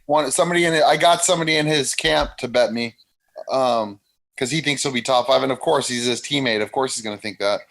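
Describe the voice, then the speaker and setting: A man's voice: medium pitch, fast, fairly bright tone. A man chatting casually as co-host over a video call, often restarting his sentences. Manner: casual, repeats himself when starting sentences. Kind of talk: casual podcast chat.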